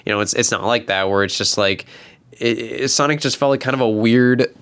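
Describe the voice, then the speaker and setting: A man's voice: mid-range voice, a little fast, fairly bright tone, clear, resonant tone. A man in a casual panel chat, speaking in a rambling, conversational way. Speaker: talk show panelist.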